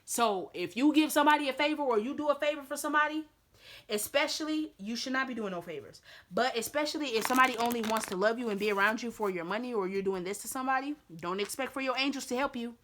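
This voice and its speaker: A woman with a slightly raspy voice giving a casual tarot reading, chatty and repetitive, with lots of fillers. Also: a little high, fast, a bit bright, slight background noise. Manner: chatty, repeats herself with many fillers. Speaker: tarot reader.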